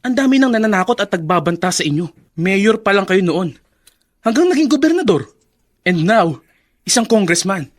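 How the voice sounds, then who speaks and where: A young adult politician's son in a Filipino radio drama. His voice is high for a man, cool and self-assured, and he slips English phrases into his cautioning advice. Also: sing-song, moderate pace. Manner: mixes English phrases into his speech, advising.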